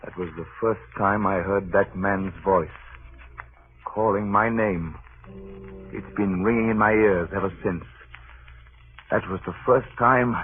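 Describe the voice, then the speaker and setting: A man's voice: fairly low pitch, close to an everyday voice. Man acting a grave sea captain, tinny old radio. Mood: haunted. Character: uneasy, world-weary freighter captain, courteous but firm. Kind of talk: 1930s radio drama acting. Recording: noisy, tinny 1930s radio recording.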